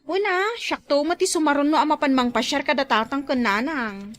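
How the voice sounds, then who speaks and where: A woman's voice: sits high, fairly quick, faint music behind, natural voice. A woman with an Ilocano accent playing an outspoken, nagging grown daughter in a comedy drama. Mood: disapproving.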